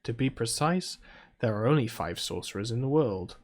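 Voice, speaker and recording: Soft-spoken British man, chilled delivery. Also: medium pitch, expressive, normal speed. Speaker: gaming video host. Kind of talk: reading visual novel text aloud with casual asides.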